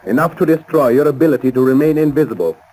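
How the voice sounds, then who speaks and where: An actor playing a suave, cold-blooded saboteur doctor in a 1930s radio drama, speaking formally and deliberately with polite menace. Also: male voice, mid-range voice, warm tone, close to an everyday voice, poor audio. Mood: gloating menace. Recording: old 1930s radio recording.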